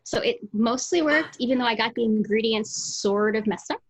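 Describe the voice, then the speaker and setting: Chatty woman with a slightly husky voice. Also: fairly high pitch, moderate pace, low-quality recording. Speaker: podcast co-host, author. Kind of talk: casual book chat. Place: small room at home. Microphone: video call.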